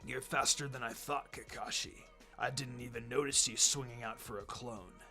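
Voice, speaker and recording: A low-pitched, menacing swordsman villain from an adventure story, acted out by a male reader. He is cocky and mocking, taunting in a smug drawl. Music plays under the voice. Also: steady tone, moderate pace, sharp tone. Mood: smug, composed.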